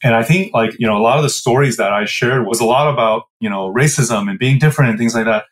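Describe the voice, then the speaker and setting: A man's voice: mid-range voice, quick pace, poor audio. A man in his forties from the Midwest, telling his story in an interview with a reflective, meandering manner full of fillers. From Midwestern United States. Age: 40s. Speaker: guest, business co-founder. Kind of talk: casual interview answers.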